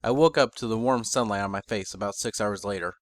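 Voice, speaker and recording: A man reading a first-person fantasy story as narrator, in a measured, bookish dramatic reading. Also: on the low side, dry recording.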